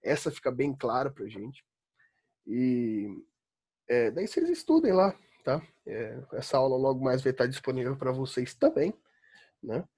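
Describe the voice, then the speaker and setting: A man's voice: mid-range voice, very animated, a little slow, mellow, breathy edge. A man from São Paulo with a Paulista accent, teaching an online law philosophy class in a chatty, emphatic way, often asking "né?". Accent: Brazilian Portuguese, São Paulo. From São Paulo, Brazil. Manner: chatty, emphatic, rising to exasperated rants, frequent "né?". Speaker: law school philosophy professor. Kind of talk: online lecture. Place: home, online class during quarantine.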